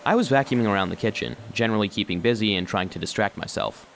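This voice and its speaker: A man's voice: fairly low pitch, quick pace, vocal fry, a little hiss or hum. A man playing an earnest, loyal little robot vacuum cleaner who narrates a children's audio story. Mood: earnest, storytelling. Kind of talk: scripted first-person children's story narration.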